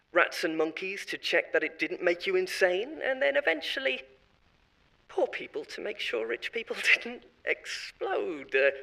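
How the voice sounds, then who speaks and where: An actor playing a flippant male corporate insider who confesses dark secrets in a glib, chuckling, self-justifying way, in a horror audio drama. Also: high-pitched, heavily acted, rough recording.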